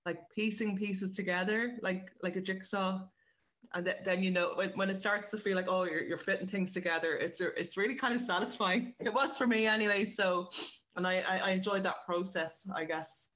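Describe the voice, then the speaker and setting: A woman's voice: on the low side, a bit bright. An Irish woman chatting casually as an interview guest, informal and rephrasing as she goes. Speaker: writer and director, interview guest.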